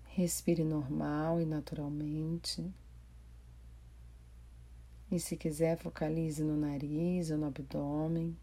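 Slow, low-pitched Brazilian woman guiding meditation. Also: level tone, slightly rough. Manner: gentle, unhurried, with long pauses. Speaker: meditation guide. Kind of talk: guided body scan meditation.

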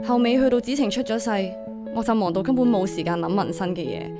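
A woman's voice: medium pitch, fast talker, over music, lightly acted. A woman acting a burdened, devoted young single mother in a radio drama, emotive and confiding, with a Hong Kong Cantonese accent. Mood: wistful, hurt.

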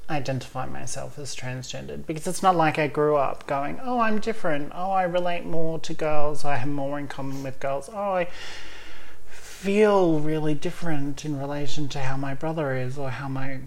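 A woman's voice: deep voice, moderate pace, low-quality recording. A woman talking candidly in a casual chat, laughing often between points.

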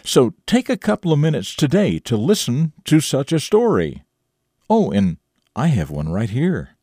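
Animated, husky male radio storyteller. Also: mid-range voice, normal speed, no echo, studio quality. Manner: folksy, expressive, emphatic. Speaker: radio show host and storyteller. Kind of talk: morning radio talk and dramatic story narration.

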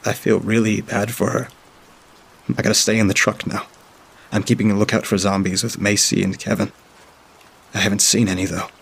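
A frightened young child pours out diary entries in a low, gravelly, husky voice, stumbling over fillers and restarting sentences, as a man reads the part. The delivery stays flat and subdued, barely rising or falling. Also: exaggerated voice. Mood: hushed, halting. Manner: halting, with frequent filler sounds. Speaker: storyteller. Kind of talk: first-person diary reading.

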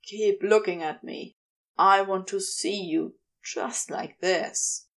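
A woman's voice: medium pitch, steady tone, takes it slowly, fairly bright tone. A woman reading the part of an adoring, commanding male lover in prose fiction, earnest and intimate.

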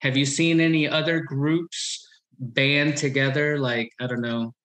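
A man hosting a casual interview, talking in an animated, rambling way and repeating himself. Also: medium pitch, unhurried. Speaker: host. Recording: roomy echo.

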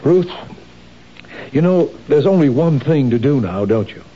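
Mellow, earnest husband, vintage radio play with a dull hissy sound. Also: male voice, mid-range voice, animated, measured pace, husky. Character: worried middle-aged husband, city official. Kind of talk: 1970s radio drama acting. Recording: dull old radio broadcast with hiss.

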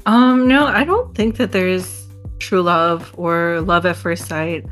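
Young woman guest, slow and unhurried, dry wit, soft music behind. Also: on the low side. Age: 20s. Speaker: podcast guest. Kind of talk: casual chat.

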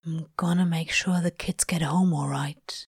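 A gruff but tender male demon from a storybook, acted by a woman narrator. He mutters slowly in a hushed, breathy murmur, with a cynical edge, close and dry. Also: low-pitched, steady tone, dry recording, full character voice. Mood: soothing. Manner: slow, muttering.

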